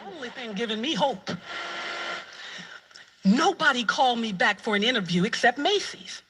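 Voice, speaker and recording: A woman's voice: a little high, expressive, moderate pace, fairly bright tone, slightly rough. A middle-aged woman talking conversationally, telling a personal story.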